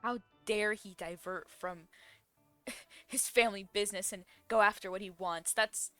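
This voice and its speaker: A woman with a bright, forward voice comments casually on a game story. She is playful and sarcastic, firing off questions as she goes, with music behind her. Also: medium pitch, steady tone, unhurried, no echo. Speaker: streamer reacting to a game story.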